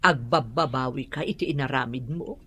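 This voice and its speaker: A woman playing a frank, reproachful older aunt in a radio drama, with a husky voice. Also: extremely low pitch, a little fast, fairly bright tone, slight background noise. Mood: reproachful, concerned.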